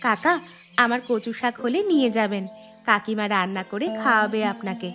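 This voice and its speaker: A woman's voice: mid-range voice, normal speed, over music, close to an everyday voice. A woman voicing a kind, humble young village wife in a children's cartoon, speaking in an earnest, modest way. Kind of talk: Bengali children's cartoon voice acting.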